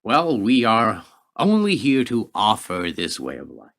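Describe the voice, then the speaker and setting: An actor playing a self-assured government official in a radio play, polite, smug and evasive. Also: male voice, lowish voice, huge pitch swings, a little slow, creaky, fairly bright tone, full character voice.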